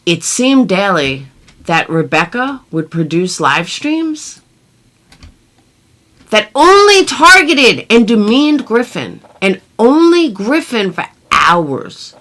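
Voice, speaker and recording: A woman hosting a commentary video, reading a document aloud with sarcastic, theatrical asides and drawn-out emphasis. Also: on the low side, fairly bright tone.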